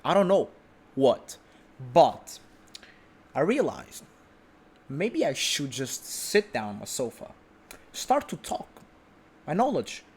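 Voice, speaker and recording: A man's voice: fairly high pitch, huge pitch swings, takes it slowly, long pauses, a little hiss or hum. A man sitting on his sofa at home, delivering an unedited vlog monologue in a brash, self-assured way, rambling with restarts and the odd swear word. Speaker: vlog host.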